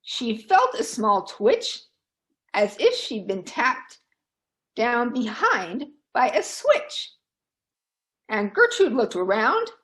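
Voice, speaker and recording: A woman storyteller reading aloud for children in a dramatic, deliberate, expressive way. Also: fairly high pitch.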